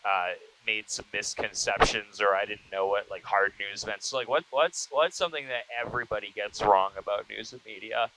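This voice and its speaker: Male podcast interviewer, fairly low voice, casual and rambling, clearly noisy recording. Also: bright, forceful tone. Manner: halting, rambling, many repeated false starts. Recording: noticeable background noise.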